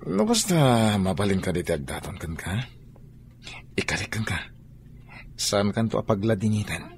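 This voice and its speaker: An actor playing an eager suitor in a radio drama, pleading and earnest, with an Ilocano accent. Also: male voice, sits low, big pitch swings, moderate pace, a little hiss or hum. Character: Jake, a man courting a married woman.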